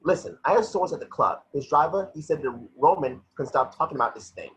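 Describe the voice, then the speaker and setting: Man reading as fast, defiant woman detective, noisy line. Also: on the high side, expressive, lightly acted. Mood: insistent. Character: dogged, defiant woman police detective, Montoya. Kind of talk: amateur online table read. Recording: muffled video-call audio with noise.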